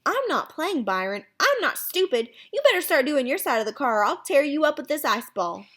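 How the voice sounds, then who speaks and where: A woman voicing a feisty, excitable young schoolboy in a story, speaking emphatically. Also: on the high side, a little slow. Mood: defiant.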